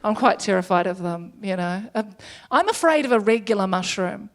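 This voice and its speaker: A woman's voice: medium pitch, a little hiss or hum. Chatty New Zealand woman doing stand-up. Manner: chatty, self-deprecating, quick asides with laughter. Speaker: stand-up comedian. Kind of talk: stand-up comedy set. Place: comedy stage before a live audience.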